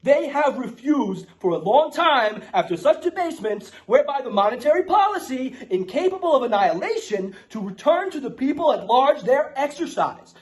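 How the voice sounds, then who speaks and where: A man's voice: very high-pitched, very animated, moderate pace, hardly any pauses, a little mellow. A man playing a brash, streetwise, foul-mouthed Bronx guy with a New York accent. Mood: mock-solemn, declaiming. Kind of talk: casual ranting and mock-solemn declaration reading.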